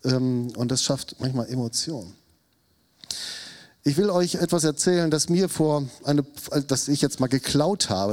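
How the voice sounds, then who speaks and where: A man in his fifties preaching a sermon, animated, acting out quotes with a raised voice. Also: medium pitch. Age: 50s. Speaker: pastor.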